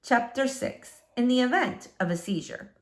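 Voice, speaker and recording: A woman reading a children's book aloud in an expressive, dramatic storytelling manner, with deliberate, emphatic phrasing. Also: a little high. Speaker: storybook reader.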